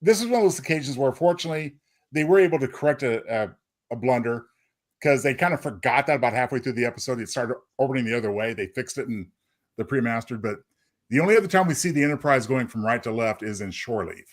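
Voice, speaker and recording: A man's voice: medium pitch, fast. A man co-hosting a casual podcast, explaining trivia in a joking, conversational way. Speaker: podcast co-host. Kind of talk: casual fan trivia chat.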